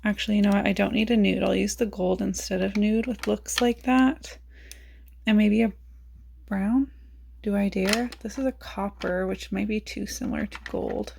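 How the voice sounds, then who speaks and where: A woman beauty vlogger chatting casually about her makeup, raspy as she loses her voice and thinks aloud in a self-mocking way. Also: mid-range voice, fairly even intonation, normal speed, a little mellow, a little hiss or hum.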